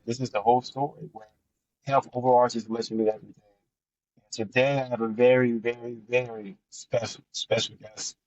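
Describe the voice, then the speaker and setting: A man's voice: medium pitch, level tone, brisk, no room sound. Casual host man, dull dry recording. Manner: warm, hesitant, casual slang. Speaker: podcast host. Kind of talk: casual podcast hosting.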